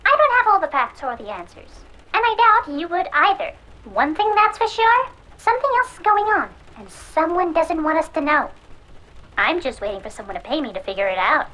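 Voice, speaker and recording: Woman playing a high-pitched, brash pirate radio hacker. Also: very animated, fairly quick, sharp tone, full character voice, rough recording. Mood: conspiratorial. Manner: theatrical, sardonic, teasing the audience. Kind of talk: cyberpunk noir audio drama radio monologue.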